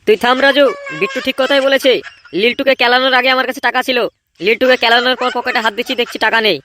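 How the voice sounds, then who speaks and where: A bright, forceful cartoon gang boss voiced by an actor who talks extremely fast. He blusters and complains, always irritable and quick to get flustered. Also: high-pitched, fairly even intonation, slight background noise. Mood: exasperated. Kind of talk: comedy cartoon dub.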